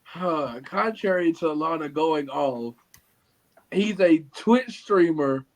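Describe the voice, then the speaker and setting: Man podcast co-host, slow unhurried delivery, slightly higher voice, calm explaining. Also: low-quality recording. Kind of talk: casual podcast chat.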